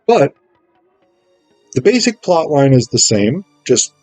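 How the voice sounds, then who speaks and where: A man's voice: medium pitch, sing-song, slow pace, darkish tone, dry recording, faint music behind. A man giving a casual spoken review, enthusiastic and digressive, often thinking aloud as he goes. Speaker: amateur stage actor reviewing a play script.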